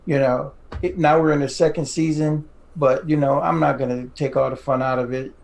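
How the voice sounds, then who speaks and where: Higher-pitched man with a creaky voice. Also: steady tone, normal speed, darkish tone. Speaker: podcast co-host. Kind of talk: casual interview chat.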